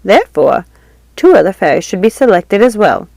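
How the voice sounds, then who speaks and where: A woman's voice: medium pitch, very animated, normal speed, gravelly, dark tone, dry recording. A woman voicing a stern elder female fairy teacher who argues firmly. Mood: objecting. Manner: firm, argumentative.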